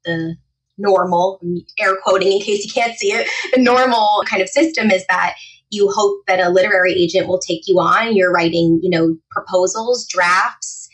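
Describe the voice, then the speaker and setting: A woman's voice: medium pitch, normal speed, a bit bright, low-quality recording. A woman in her twenties speaking as a podcast guest in a casual interview, talkative and often rephrasing as she explains. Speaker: podcast guest, etiquette trainer and author.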